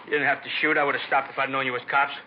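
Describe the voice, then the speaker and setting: Male actor as wounded thirties hoodlum, strained and whiny, quick delivery, noisy old radio sound. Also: medium pitch, little pitch movement, lightly acted. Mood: pained pleading. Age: 30s. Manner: whining, complaining. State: hurt, pleading. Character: wounded, cornered 35-year-old male robber, whining and bitter. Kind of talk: 1950s radio crime drama acting. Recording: noisy, dull vintage radio recording.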